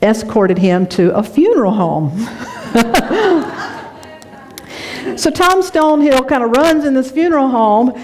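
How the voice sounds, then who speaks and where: A woman from North Carolina, a minister giving a lively, conversational sermon in a church, laughing often as she tells stories. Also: high voice, takes it slowly, pauses often, a little hiss or hum. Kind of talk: sermon with storytelling. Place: church sanctuary.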